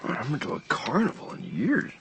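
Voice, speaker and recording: A man's voice: fairly high pitch, huge pitch swings, fast talker, gravelly, very noisy, full character voice. An actor playing a wary but curious young man in a radio drama, hesitant, swinging between doubt and wonder.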